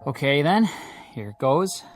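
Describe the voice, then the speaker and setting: An actor playing a nervous, deferential piano player, hesitant and eager to please, in a mid-century TV drama. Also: male voice, on the high side, big pitch swings, slow pace. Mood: nervous, obliging.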